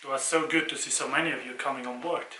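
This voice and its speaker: A Swiss man around forty talks casually, as if co-hosting a relaxed question-and-answer vlog. His voice is bright and forward. It rings with the echo of an untreated room. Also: medium pitch, fast talker, slight background noise. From Switzerland. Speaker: vlogger co-hosting a couples' channel. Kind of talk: casual Q&A chat. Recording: echoey room.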